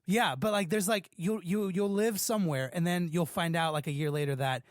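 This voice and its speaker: A man's voice: sits high, fairly quick, dry recording, clean studio sound. A man from Los Angeles hosting a podcast, talking casually with lots of fillers like "like" and "man". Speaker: podcast host. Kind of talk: casual interview chat.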